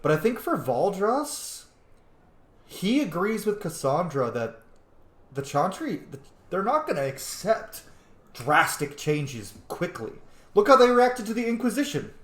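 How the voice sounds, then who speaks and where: A man's voice: sits high, big pitch swings, a little slow. A man giving casual, reflective let's play commentary, thinking aloud as he goes. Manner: reflective, thinking aloud, mimics opinions in quotes. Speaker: gamer, roleplay host. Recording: roomy, echoing room sound.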